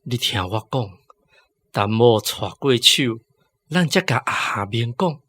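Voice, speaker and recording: An actor with a Taiwanese accent playing a wealthy, persuasive, scheming married gentleman in a radio drama. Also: medium pitch, animated, measured pace.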